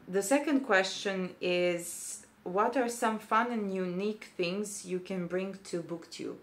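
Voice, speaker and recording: Slow-spoken Serbian-accented young woman. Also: lowish voice. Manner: hesitant, many fillers. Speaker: booktuber. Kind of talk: casual vlog talk.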